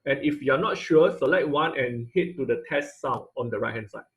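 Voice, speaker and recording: A man's voice: medium pitch, brisk, low-quality recording. A man teaching an online robotics class over a video call, explaining step by step with many fillers and restarts. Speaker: instructor. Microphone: computer mic on video call.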